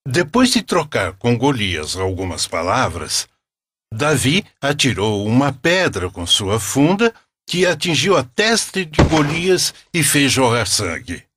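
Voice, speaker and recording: A solemn Brazilian grandfather, tells cartoon stories in a husky voice. His delivery is slow and reverent, with lively rises and falls in pitch. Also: no room sound, clean studio sound, full character voice. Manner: measured, reverent. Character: solemn, kindly grandfather telling religious stories. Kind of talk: scripted storytelling narration.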